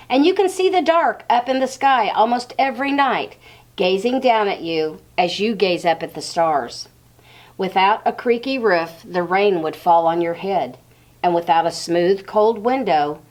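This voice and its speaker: A woman voicing a calm, mysterious living darkness from a children's storybook, coaxing and unhurried. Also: medium pitch, voice pushed up, close to an everyday voice. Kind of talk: children's storybook read-aloud.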